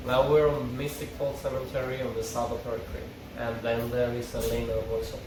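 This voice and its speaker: A man's voice: medium pitch, flat delivery, brisk, noisy background. A man reading a TV script aloud, narrating stage directions with informal asides. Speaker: table read narrator and reader. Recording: very echoey room, distant, music behind.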